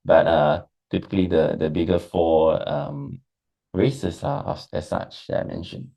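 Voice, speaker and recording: A man's voice: low-pitched, wildly swooping pitch, unhurried, vocal fry, warm tone. A Singaporean man with a Singaporean English accent, answering interview questions casually, hesitant with many fillers. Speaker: interviewee, businessperson.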